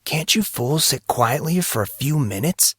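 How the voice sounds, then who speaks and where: A man's voice: on the high side, animated, measured pace, a bit bright, slightly rough, no room sound, studio quality, voice pushed up, exaggerated voice. A man voicing a brooding, earnest fourteen-year-old schoolboy in an audio story. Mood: annoyed.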